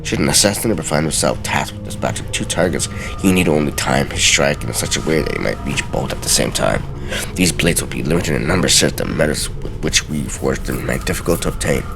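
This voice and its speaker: A man with an extremely deep, creaky voice reads video game text aloud in a theatrical style. His delivery is deliberate yet widely varied in pitch, with an occasional stumble, and music plays clearly behind him. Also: expressive. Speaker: let's play host. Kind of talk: dramatic reading of video game journal pages.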